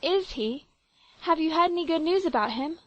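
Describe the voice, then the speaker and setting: A woman voicing a gentle, affectionate mother who speaks softly, in a storybook reading. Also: extremely high pitch, animated, moderate pace, dry recording, lo-fi sound, voice pushed up. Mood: anxious. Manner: soft and affectionate, half whispering. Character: tender, gentle grown mother.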